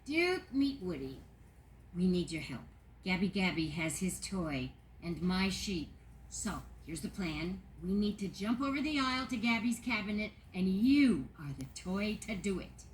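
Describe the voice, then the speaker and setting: An actress voicing a confident porcelain woman toy who leads the others and talks briskly. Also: mid-range voice, big pitch swings, slight background noise.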